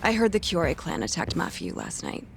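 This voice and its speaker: Lively, creaky-voiced teasing woman. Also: low-pitched, fairly quick, heavily acted. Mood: knowing, teasing. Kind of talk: naturalistic video game cutscene acting. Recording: faint background noise.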